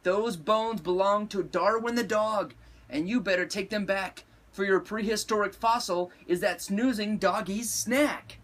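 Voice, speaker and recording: A man voicing a bossy, scolding mother cat in a storybook, sharp and commanding. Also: high voice, measured pace, pitched above the performer's own voice. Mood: stern, indignant.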